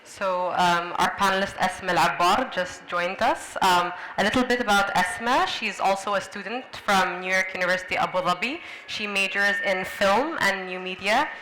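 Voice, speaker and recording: A woman's voice: mid-range voice, normal speed, hardly any pauses, crowd noise, rough recording. A woman moderating a panel, reading out a panelist's introduction. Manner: announcing, reading a bio.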